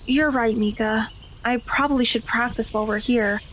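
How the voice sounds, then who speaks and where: A woman playing a modest, easygoing high school girl, plain and understated, in an audio drama. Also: fairly even intonation, moderate pace, a bit bright, slight background noise, natural voice. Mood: casual, calm.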